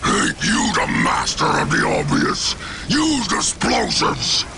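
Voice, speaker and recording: A brutish ork warboss from a video game with a rough Cockney accent. The growl is gravelly and pitched high, swinging wildly as he bellows crude boasts and orders. Noise sits behind the voice. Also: big pitch swings, takes it slowly, heavily acted. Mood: exasperated. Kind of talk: video game character acting.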